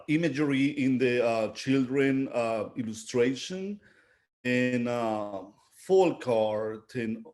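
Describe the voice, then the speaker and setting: A man's voice: mid-range voice, a little mellow. A middle-aged man from Venezuela with a Venezuelan Spanish accent, speaking reflectively as an artist in an interview and often searching for his words. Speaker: visual artist being interviewed. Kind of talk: unscripted interview talk, reflective.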